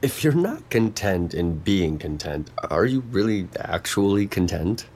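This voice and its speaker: A man playing a guarded, gloomy intellectual in a naturalistic audio drama, speaking in a dry, sceptical deadpan. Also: sits low, measured pace, slight background noise. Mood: dry, probing.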